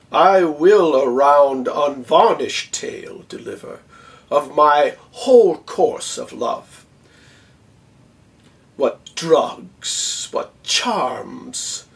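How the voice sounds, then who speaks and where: A man playing a proud, dignified general in a theatrical Shakespeare monologue, speaking in a measured, stately way with long pauses and hushed phrase endings. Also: on the high side, recorded from a distance, heavily acted. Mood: measured, defiant.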